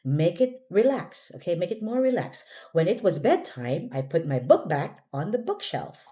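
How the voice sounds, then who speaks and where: A woman's voice: on the low side, very animated, normal speed, mellow. A woman teaching English pronunciation one-on-one, giving short prompts and corrections and modelling words for a learner to repeat.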